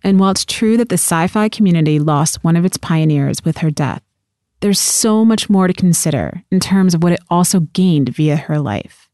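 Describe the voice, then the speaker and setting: Low-voiced woman narrating, close and dry. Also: animated, normal speed, mellow, no echo. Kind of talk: scripted documentary narration.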